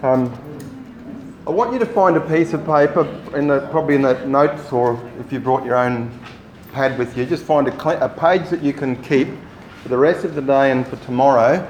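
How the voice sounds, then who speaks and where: A man running a training workshop, giving relaxed, rambling instructions to the group. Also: medium pitch, moderate pace. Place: meeting room. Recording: echoey room, faint noise.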